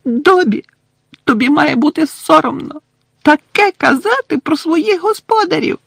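A reader voicing a small, anxious, servile male house-elf who talks about himself in the third person, in a high piping voice. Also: animated, measured pace, gravelly, a bit bright, no echo, clean studio sound, full character voice. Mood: defiant. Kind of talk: audiobook character voice.

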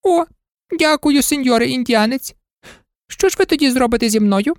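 A woman's voice: sits high, lively intonation, moderate pace, no echo, studio quality, voice pushed up. A woman voicing a frightened, chattering old servant woman who pleads and wails. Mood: eager chattering. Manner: pleading, wailing.

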